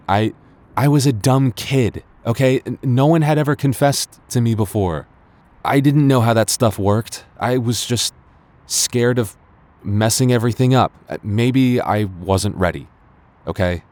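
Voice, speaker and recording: Man acting a deep-voiced, flustered young lovesick guy. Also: a little mellow, close to an everyday voice. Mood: defensive, flustered. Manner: halting, stammering, hesitant. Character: young man, flustered, defensive childhood friend secretly in love. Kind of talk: romantic audio roleplay acting.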